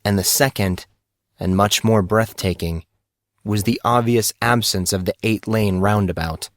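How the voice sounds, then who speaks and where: Guy narrating an audiobook story, lowish voice, really dry recording. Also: a little slow, no room sound.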